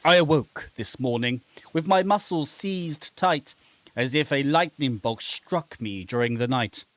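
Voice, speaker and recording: Guy doing a dramatic game read-through, unhurried, playful, dry muttered asides, no room echo. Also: medium pitch, expressive, a bit bright. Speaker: gaming channel host.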